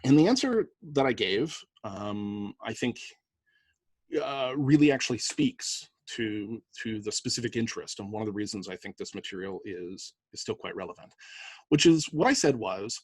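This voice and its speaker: Canadian male lecturer, husky mid-pitched voice, chatty, storytelling, dry close recording. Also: expressive, moderate pace, dry recording. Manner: conversational, anecdotal, lots of fillers and rhetorical "right?". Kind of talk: university lecture.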